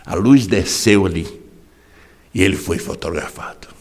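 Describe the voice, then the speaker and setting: A man preaching a sermon as pastor in a Brazilian Portuguese accent, impassioned, swinging from hushed drops to sudden shouts. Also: deep voice, a little slow, big-room sound. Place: large church hall.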